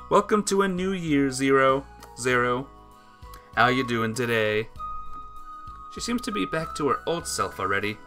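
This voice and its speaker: A man streaming a visual novel, reading the text aloud dramatically and chatting casually with viewers. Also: medium pitch.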